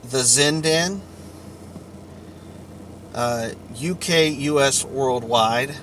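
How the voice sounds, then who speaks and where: A man giving an informal explainer monologue to viewers in a halting, deliberate way, with long pauses. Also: mid-range voice, a bit bright, slight background noise. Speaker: vlogger.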